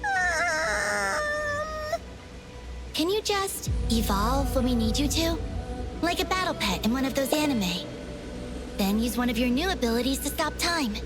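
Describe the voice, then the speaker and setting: Loud game music plays under a cheeky, excitable young anime adventurer. The voice is high and swings widely in pitch. She is dramatic and playful, full of exclamations, in the manner of a dubbed game heroine. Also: a little slow, vocal fry, pauses often, exaggerated voice. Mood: pleading, playful. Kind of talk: English anime game dub acting. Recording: prominent game music behind the voice.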